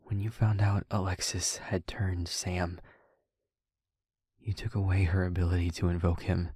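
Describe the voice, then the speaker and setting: A man playing an anxious, tender, protective young vampire boyfriend in an intimate audio roleplay, speaking haltingly and often in a whisper. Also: low voice, level tone, mellow, husky, no room sound, clean studio sound. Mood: pleading, grave.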